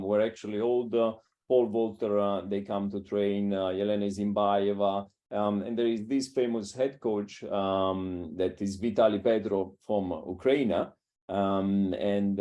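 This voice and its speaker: A man from Italy speaks English with a clear Italian accent in a low, mellow voice. His talk is halting and full of "uh" and "um" as he reaches for words. He sounds like a coach in his thirties in a casual conversation. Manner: halting, many filler sounds, non-native phrasing. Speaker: strength and conditioning coach.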